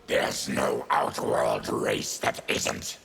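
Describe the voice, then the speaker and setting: An actor playing an afflicted, embittered mutant warrior in a video game, with a gravelly growl and grim, deliberate, menacing delivery. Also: male voice, rumbling low, fairly even intonation, fairly bright tone, exaggerated voice. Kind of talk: video game character dialogue. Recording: clear background noise with clicks.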